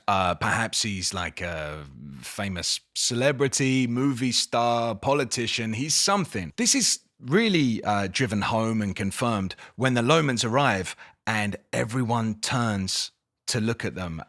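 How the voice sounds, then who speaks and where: An English man giving a casual spoken book review, expressive, with dramatic emphasis and incredulous questioning. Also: mid-range voice. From England.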